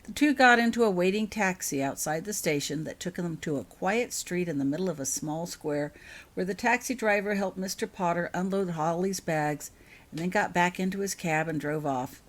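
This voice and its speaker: A woman narrating fiction as an author reading aloud, in an expressive storybook style. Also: lowish voice, normal speed.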